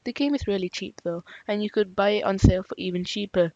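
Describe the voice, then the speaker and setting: A woman's voice: medium pitch, quick pace, a little mellow, no room sound, lightly acted. A woman playing a polite teenage boy, patient, slightly raised voice, muffled dry audio.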